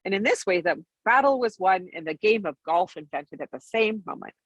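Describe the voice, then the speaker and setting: A woman's voice: mid-range voice, expressive, a little fast, slightly rough, no echo. A woman reading a storybook aloud as bedtime narration, expressively, with an occasional stumble over the words. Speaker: storybook reader.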